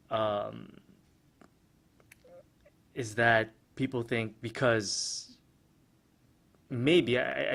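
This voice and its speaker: A man of middle pitch thinking aloud on his own podcast, full of "um"s, false starts and repeated words as he works through an opinion. He speaks American English as his first language, in a casual, reflective way. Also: a bit bright. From Philippines. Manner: hesitant, many restarts and fillers, reflective. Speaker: podcast host. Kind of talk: casual solo podcast commentary.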